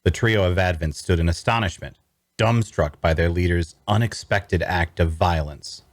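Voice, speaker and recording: A low-pitched, closely recorded man performing as a wry necromancer storyteller. He narrates a dark fantasy audio drama in dramatic first person, sounding queasy and sardonic. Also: normal speed, no echo, lightly acted. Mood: grave narrating. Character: wry, queasy male necromancer telling his own tale.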